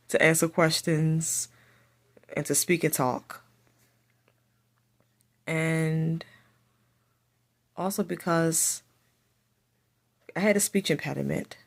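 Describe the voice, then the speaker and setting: Slow, soft-spoken woman with a stutter. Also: sits low. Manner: speaks with a stutter, repeats phrases. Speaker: vlogger. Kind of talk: casual personal storytelling to camera.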